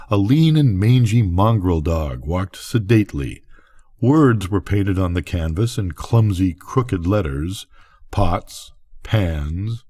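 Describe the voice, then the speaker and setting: An older man narrates a bedtime story. His voice sits fairly low and moves with a lot of melody, and he phrases things deliberately and expressively. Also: a little mellow. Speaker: narrator, grandfather reading aloud.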